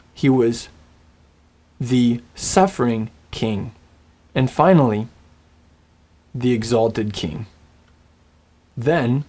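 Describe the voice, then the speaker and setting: A man teaching a Bible language lesson, speaking in a scripted, storytelling way with slow, deliberate phrasing and dramatic pauses. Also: fairly high pitch, a little mellow. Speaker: Biblical Hebrew teacher.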